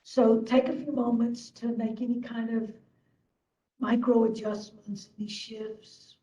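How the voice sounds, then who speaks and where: A woman leading a guided meditation as instructor, speaking gently in short phrases with long pauses. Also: on the high side, fairly even intonation, lo-fi sound.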